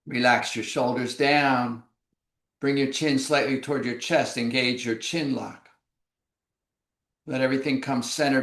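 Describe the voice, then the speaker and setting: A man leading a yoga class through breathing exercises, giving slow, calm, measured cues. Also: medium pitch, roomy sound. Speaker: yoga instructor. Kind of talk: guided breathing instruction.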